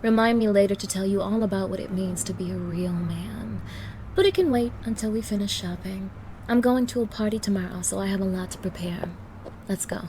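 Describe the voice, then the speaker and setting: A woman's voice: mid-range voice, fairly quick, noisy background, lightly acted. A woman playing a haughty, demanding young gold digger on a date, scolding and condescending in an audio roleplay. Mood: bossy. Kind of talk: ASMR-style dramatic roleplay.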